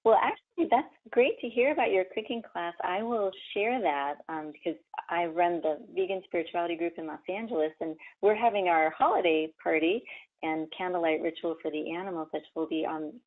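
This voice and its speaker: A woman's voice: on the low side, a little fast, phone-quality sound. A woman hosting an online interview, gracious and encouraging with her guests. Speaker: host, leads a vegan spirituality group.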